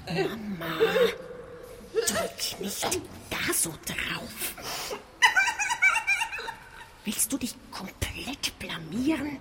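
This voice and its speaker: An exasperated, sharp-tongued daughter hisses rhymed reproaches in a radio play. Her pitch moves a lot and her pace is slow. The voice rings in a long hall reverb. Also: very animated, exaggerated voice. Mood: indignant. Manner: hissing, scolding. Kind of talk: rhymed radio drama dialogue. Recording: long hall reverb, clear ambient noise.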